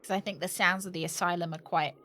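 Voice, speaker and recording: Bright-voiced woman livestreaming a game. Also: fairly low pitch, steady tone, fast, slight background noise. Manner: jokey, reactive asides. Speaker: livestreamer playing a horror adventure game. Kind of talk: casual live gaming commentary.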